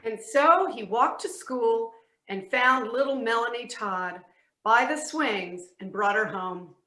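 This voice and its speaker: A woman's voice: fairly high pitch, expressive, slow pace, pushed and bright. A woman narrating a children's story for a readers theatre performance in an echoey room.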